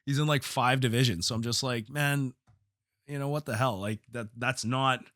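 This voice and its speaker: A man hosting a solo podcast as a coach, telling stories in blunt, profane, slangy talk, slightly hoarse from a cold. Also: mid-range voice, steady tone, normal speed, fairly bright tone, dry recording, clean studio sound. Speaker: podcast host, jiu-jitsu coach and gym owner. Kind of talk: casual solo podcast storytelling.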